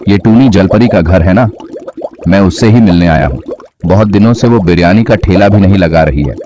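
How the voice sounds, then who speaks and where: A man's voice: deep voice, moderate pace, natural voice. A man playing a kindly, appreciative regular customer in a cartoon story. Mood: curious. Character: kindly adult male biryani customer, generous, appreciative. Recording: clear background noise.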